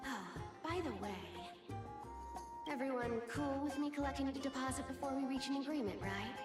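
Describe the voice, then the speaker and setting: A woman's voice: sits high, moderate pace, over loud music, natural voice. An actress playing a sly, playful, teasing cat-like female thief in a video game.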